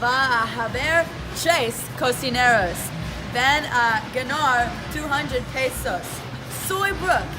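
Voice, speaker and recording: A young woman playing the host of a cooking show in a school skit, in slow, careful learner's Spanish. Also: high-pitched, lively intonation, over music. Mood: announcing. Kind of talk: student classroom skit.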